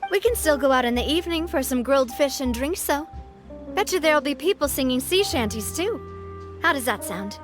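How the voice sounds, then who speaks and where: A young female leader in a video game. Her voice is high, with a cheerful, kindly and teasing manner. Soft music sits faintly behind her. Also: animated, moderate pace. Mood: playful. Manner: friendly, teasing, upbeat.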